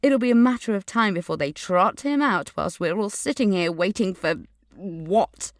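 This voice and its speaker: A woman's voice: mid-range voice, normal speed, no room sound, clean studio sound, close to an everyday voice. A woman playing an angry, suspicious teenage schoolgirl in an audio drama, earnestly narrating her memories and visions and speaking in dialogue. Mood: indignant.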